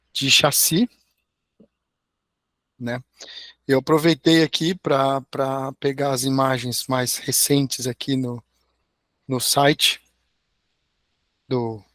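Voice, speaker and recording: A middle-aged man with a hoarse voice, an engineering professor giving a friendly online lecture with slides over a video call. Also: medium pitch, a bit bright, no room sound, clean studio sound. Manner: friendly, tags sentences with "né?". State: hoarse, recovering from a sore throat.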